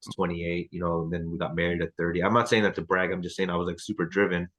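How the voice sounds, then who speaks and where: A man's voice: sits low, level tone, fast talker, a bit bright. A man in his thirties leading a team training over a video call, loose and chatty with lots of fillers and restarts. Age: 30s. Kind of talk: casual video call chat.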